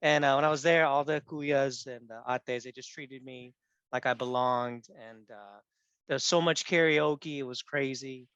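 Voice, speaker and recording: A man's voice: mid-range voice, steady tone, measured pace, fairly bright tone, smooth, dry recording. A man chatting casually on a video call, upbeat and laughing, with plenty of fillers. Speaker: participant introducing himself. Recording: dry, dull lo-fi call audio.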